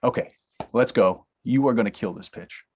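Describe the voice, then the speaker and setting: An actor at a table read playing a young talent manager with a dry, deadpan sense of humor. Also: male voice, medium pitch, moderate pace, natural voice. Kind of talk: table read of a comedy script.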